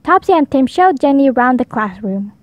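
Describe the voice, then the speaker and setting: A woman's voice: high-pitched, lively intonation, a little fast, dry recording, lo-fi sound. A woman narrating a children's story as a read-aloud storybook reader.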